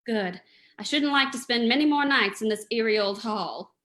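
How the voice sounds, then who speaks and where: A woman's voice: on the high side, moderate pace, fairly bright tone, close to an everyday voice. A woman playing a polite, anxious lady companion to a sleuth in an old-time radio drama, proper and theatrical. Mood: polite, uneasy.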